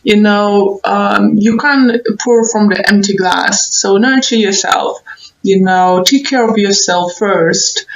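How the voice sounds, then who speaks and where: Slow-talking woman, echoey tarot reading. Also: mid-range voice, gravelly. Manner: rambling, gently encouraging. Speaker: tarot reader. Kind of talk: casual tarot reading, advice to viewers. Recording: roomy echo, dull muffled sound.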